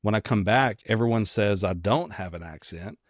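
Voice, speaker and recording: Low-voiced Southern American man, casual chat. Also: moderate pace, no room sound, clean studio sound. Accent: mild Southern American, Kentucky. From Kentucky, United States. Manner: rambling, joking, self-deprecating. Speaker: podcast co-host.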